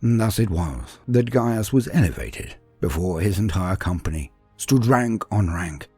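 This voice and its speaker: A man giving a solemn, theatrical, deliberate narration of a scripted war story. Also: low-pitched, animated, darkish tone, breathy edge, dry recording, soft background music. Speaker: narrator of fan fiction war tales.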